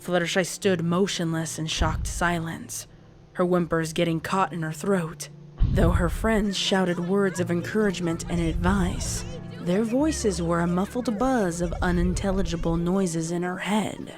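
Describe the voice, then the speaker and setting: A woman's voice: fairly low pitch, fairly even intonation, a little slow. A woman reading scripted story narration in a dramatic style. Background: music.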